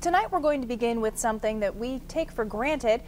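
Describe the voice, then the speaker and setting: A woman's voice: on the high side, expressive, brisk, a little mellow, slight background noise. An American woman reading scripted news as a television anchor.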